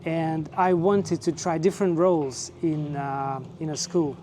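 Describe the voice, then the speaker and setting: A man in his forties from Russia, speaking English, telling his own story in a relaxed, measured way with fillers. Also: fairly high pitch, a little hiss or hum. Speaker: vlogger and teacher. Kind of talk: casual personal storytelling.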